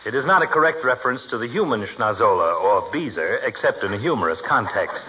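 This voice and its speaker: An actor playing a pompous, sardonic doctor in a 1940s radio comedy, needling others in ornate, learned words. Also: male voice, medium pitch, expressive, fast talker, natural voice. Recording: muffled, faintly noisy 1940s radio recording.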